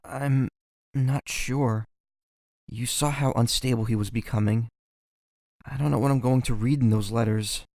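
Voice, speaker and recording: A man acting a weary, gentle young fugitive in a fantasy audio drama, hesitant and pleading. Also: medium pitch, steady tone, measured pace, no echo, clean studio sound, close to an everyday voice. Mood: hesitant, uneasy.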